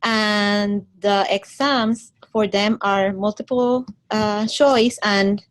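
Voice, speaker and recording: A woman's voice: mid-range voice, fairly even intonation, slow. A female graduate student telling a story in a workshop discussion, acting out quotes. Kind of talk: casual group discussion.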